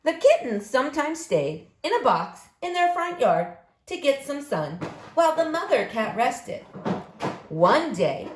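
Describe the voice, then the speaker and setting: Female storybook reader for young kids: very lively pitch swings, slow deliberate phrasing, roomy sound. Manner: expressive, emphatic, deliberate phrasing. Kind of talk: animated read-aloud story narration for young children. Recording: roomy echo, faint background noise.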